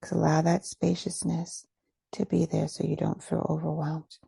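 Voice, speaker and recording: Low, husky woman guiding meditation. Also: monotone, dark tone, dry recording. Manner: soothing, unhurried, pausing between short phrases. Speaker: meditation guide. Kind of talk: guided meditation, gentle scripted instruction.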